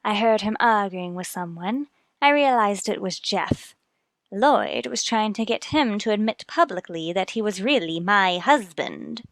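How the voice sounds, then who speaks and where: A woman's voice: mid-range voice, animated, measured pace, no echo. A woman playing a bitter, accusing wife cornered as a murderer in a radio mystery drama. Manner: scornful, accusing.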